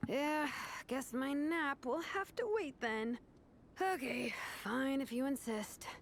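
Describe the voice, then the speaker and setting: An actress playing a laid-back, dryly sardonic young vice captain in a video game, talking in a casual, wry way. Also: female voice, sits high, takes it slowly, a little hiss or hum. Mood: easygoing.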